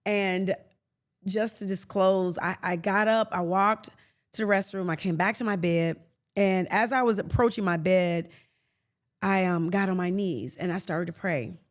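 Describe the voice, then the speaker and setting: A woman in her late fifties hosting a faith podcast, talking earnestly and conversationally with soft laughs and restarts. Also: mid-range voice, fairly even intonation, measured pace. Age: 50s. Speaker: podcast host. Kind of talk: reflective faith podcast monologue.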